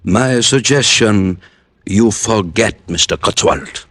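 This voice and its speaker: An actor playing a careful, philosophical police inspector in an old radio drama, speaking formally and deliberately. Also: male voice, lowish voice, fairly bright tone, no room sound, clean studio sound, faint music behind. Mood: cautioning, guarded. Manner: formal, deliberate, veiled warnings.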